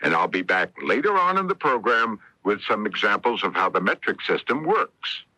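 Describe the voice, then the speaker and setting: A man's voice: lowish voice, sing-song, normal speed, heavy background noise. A man delivering a scripted, explanatory public service announcement on 1970s radio. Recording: dry 1970s radio broadcast.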